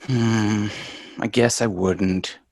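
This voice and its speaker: A man's voice: lowish voice, fairly even intonation, drawn-out words, a little mellow, dry recording, studio quality. A man voicing a sheepish, apologetic schoolboy son in an amateur sitcom table read, earnest and wry.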